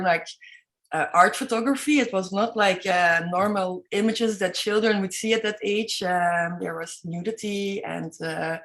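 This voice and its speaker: A woman's voice: mid-range voice, a bit bright. Hesitant Belgian woman telling her story. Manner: hesitant, many ums and self-corrections, non-native English. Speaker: artist guest. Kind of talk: interview storytelling.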